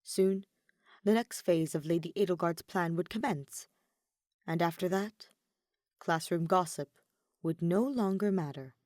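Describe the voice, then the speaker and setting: A woman narrates a story from a script. Her tone is soft and dark, a bit lower than most women, and the recording is close and dry with no room around it. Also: measured pace, long pauses, dry recording. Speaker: fan fiction narrator.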